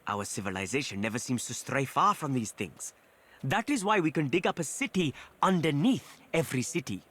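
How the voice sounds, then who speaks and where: An actor playing a wry, erudite academic, lecturing and musing in video game dialogue. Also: male voice, medium pitch, very animated, brisk, fairly bright tone, slightly rough. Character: wry, erudite male academic expounding a pet theory.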